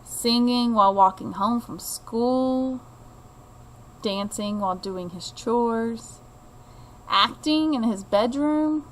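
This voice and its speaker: A woman reading a children's picture book aloud in an expressive, deliberate way, like a teacher to a child. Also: a little high, slight background noise, low-quality recording. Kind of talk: children's storybook read-aloud.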